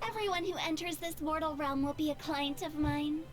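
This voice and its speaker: A woman's voice: sits high, steady tone, a little fast, natural voice. A woman voicing a playful, quirky young funeral parlor director in a video game, speaking in a breezy, theatrical way. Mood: playful, sly. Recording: game audio with background noise.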